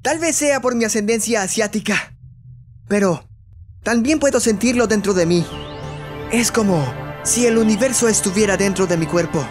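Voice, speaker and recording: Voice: medium pitch, normal speed, music in the background, natural voice. A performer playing a hot-headed, protective teenage boy in an anime fandub, emphatic and earnest. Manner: emphatic, impulsive.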